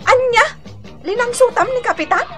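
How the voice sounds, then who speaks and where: A woman's voice: very high-pitched, moderate pace, over music. A woman playing a nosy, teasing gossip companion with an Ilocano accent, exclaiming her way through a radio drama. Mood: surprised, prying.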